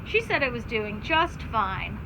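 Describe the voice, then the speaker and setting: High, animated woman reading to children. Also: unhurried. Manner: instructive, asks listeners questions, simple explanations. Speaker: teacher reading aloud to children. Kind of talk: children's read-aloud with explanatory asides. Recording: clear background noise.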